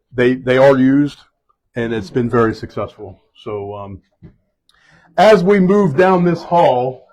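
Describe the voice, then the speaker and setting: A fire chief leading a group around a building indoors, speaking loudly in a casual, halting way with many fillers and restarts. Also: male voice, medium pitch, low-quality recording. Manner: loud voice. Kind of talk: casual spoken tour guiding.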